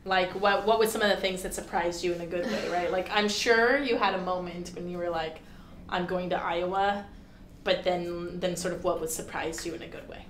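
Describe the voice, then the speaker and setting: A woman's voice: fairly low pitch, fairly even intonation, normal speed. A woman hosting a casual interview show, upbeat and asking follow-up questions. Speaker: show host. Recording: echoey room, faint ambient noise.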